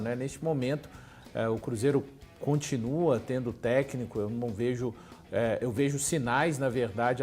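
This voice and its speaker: A man working as a sports commentator, giving measured analysis with frequent pauses and fillers. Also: medium pitch, a little mellow. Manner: measured, hesitant, many fillers. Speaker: sports commentator and radio journalist.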